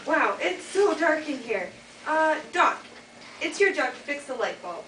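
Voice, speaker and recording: A woman's voice: high-pitched, very animated, unhurried. A teenage girl playing a bossy young fairytale princess in a school readers' theater. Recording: echoey noisy hall from far off.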